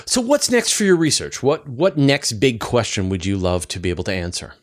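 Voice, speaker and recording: A man's voice: mid-range voice, expressive, a little fast. A man hosting a conversational science interview, curious and informal as he thinks aloud through his questions. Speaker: interviewer, science show host.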